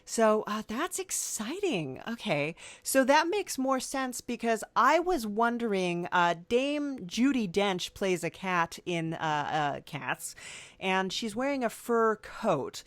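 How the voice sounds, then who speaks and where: A woman hosting a comedy podcast, playing along with a halting, filler-heavy manner. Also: medium pitch, big pitch swings, no echo. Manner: hesitant, many fillers, playing along with mock seriousness. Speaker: podcast host. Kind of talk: improvised comedy interview.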